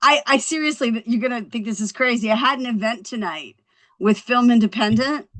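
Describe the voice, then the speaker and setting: A woman's voice: fairly high pitch, a little fast, fairly bright tone. A woman chatting casually and in a friendly way on a group video call. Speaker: amateur table-read participant.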